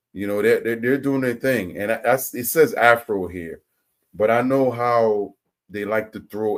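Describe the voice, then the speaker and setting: Low-pitched guy w/ reacting to clips, slangy and rambling. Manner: loose, talkative, repeats words and uses slang. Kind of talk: casual reaction commentary.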